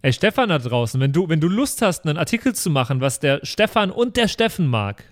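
A man's voice: fairly high pitch, sing-song, fairly quick. A man chatting and improvising casually as a player on a tabletop role-playing show. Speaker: player in a pen-and-paper show.

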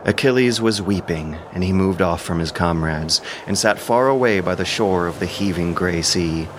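A man with a creaky voice giving scripted, dramatic narration of epic poetry. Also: low voice, moderate pace, background noise. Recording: music behind the voice.

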